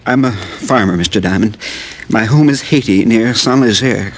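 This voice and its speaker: A worn-out, ailing farmer, played by a male actor, who speaks softly and hesitantly in a husky voice. He sounds weak and tired, like a man pleading for help in an old radio drama. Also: fairly low pitch, close to an everyday voice. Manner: quiet, halting and tired. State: ill, weak. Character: sick weary Haitian farmer, middle-aged man. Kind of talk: 1950s radio drama acting.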